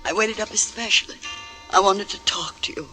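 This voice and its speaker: A woman's voice: mid-range voice, fairly quick, bright, forceful tone, soft background music, lightly acted, low-quality recording. An actress playing a frightened, downtrodden mother who pleads and stammers in a 1940s radio drama. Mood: nervous.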